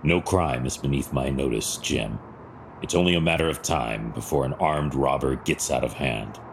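Very deep gravelly masked vigilante. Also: male voice, normal speed, a little hiss or hum. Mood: grim, resolute. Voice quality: gravelly, husky. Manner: terse, commanding. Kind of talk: audio drama acting.

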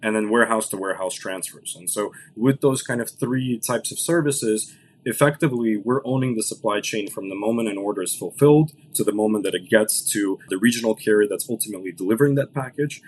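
A man's voice: fairly low pitch, a little hiss or hum, poor audio. A man in his late twenties from Los Angeles, a founder talking about his business as a podcast guest, with many restarts. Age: late 20s. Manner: fast business talk with many restarts and "right?". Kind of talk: podcast interview.

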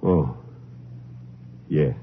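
A man acting as a hesitant, uneasy older relative, speaking haltingly in a 1950s radio drama. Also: rumbling low, full character voice. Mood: uneasy, subdued. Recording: muffled old radio recording, faint noise.

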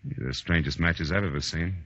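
An actor playing a tough, wisecracking American private detective in a 1940s radio drama, with a wry, clipped, hard-boiled delivery. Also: male voice, sits low, a little fast, a bit bright. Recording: dull 1940s radio recording, faint music.

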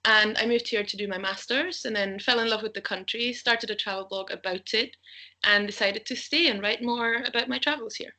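A young woman from Austria talks casually as a podcast guest. Her voice is bright and forceful, and she chats easily, laughing often and pausing on little ums as she thinks. She sounds open and talkative. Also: fairly high pitch. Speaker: podcast guest, travel blogger. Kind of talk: casual interview chat.

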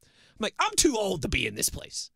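A man's voice: high voice, wildly swooping pitch, moderate pace, vocal fry, pushed and bright, husky, no echo, studio quality. A man in his early thirties co-hosting a casual sports show, teasing his partner and telling a long chatty story. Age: 30s. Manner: teasing, chatty anecdote-teller. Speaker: co-host.

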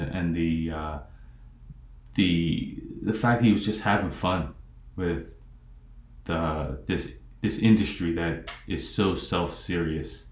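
A man in his forties hosting an interview podcast, talking reflectively with frequent pauses and fillers. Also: deep voice. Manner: reflective, halting, many fillers. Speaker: podcast host. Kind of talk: casual interview talk. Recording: echoey room.

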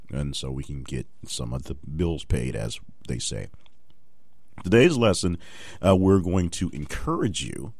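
Very deep-voiced man hosting a podcast. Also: darkish tone, no room sound. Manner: unscripted, rambling with fillers and restarts. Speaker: podcast host. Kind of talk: solo motivational podcast talk.

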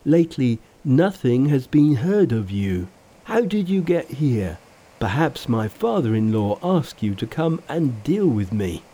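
A narrator voicing a rough, indignant male pig monster in a storybook reading. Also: mid-range voice, big pitch swings, measured pace, warm tone. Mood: wary.

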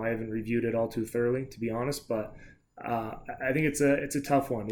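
A man speaking as a sports show panelist, casually talking through his view in a measured, careful way. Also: mid-range voice, a little mellow.

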